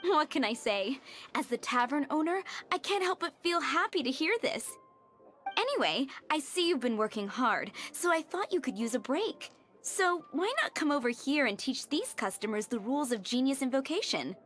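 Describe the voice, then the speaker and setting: High-voiced, easygoing tavern owner. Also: female voice, lively intonation, normal speed, a bit bright. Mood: coaxing. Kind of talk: video game cutscene acting. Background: game music.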